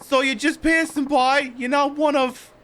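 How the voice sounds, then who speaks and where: A man voicing a distraught mother in a video game, pleading and fretful in a halting way. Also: very high voice, fairly even intonation, fairly bright tone, a little hiss or hum, voice pushed up. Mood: anxious, pleading. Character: distraught, pleading mother of a college-age daughter.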